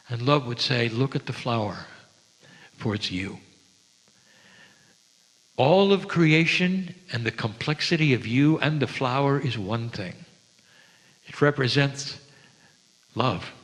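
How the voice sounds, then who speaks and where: A man giving a spiritual sermon to an audience, speaking gently and reverently with deliberate, dramatic pauses. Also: mid-range voice. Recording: long hall reverb.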